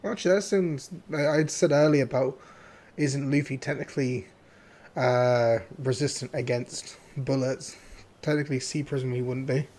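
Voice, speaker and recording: A hoarse man streaming a game, chatting casually and rambling with many fillers and restarts. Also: mid-range voice, normal speed, darkish tone. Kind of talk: casual gameplay commentary.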